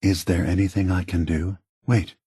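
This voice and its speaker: An actor playing a gentle, weary old male android leader in a video game, husky and soft-spoken, with a measured, contemplative, earnest manner. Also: low voice, little pitch movement, no room sound, studio quality. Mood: calm, welcoming. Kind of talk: video game character dialogue.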